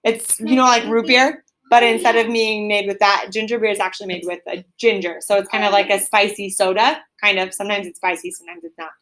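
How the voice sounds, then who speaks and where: A woman with a mid-pitched voice reads a children's story aloud. She moves between narration and casual, chatty explanations aimed at young listeners. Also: fairly even intonation, fairly quick, few pauses, a bit bright.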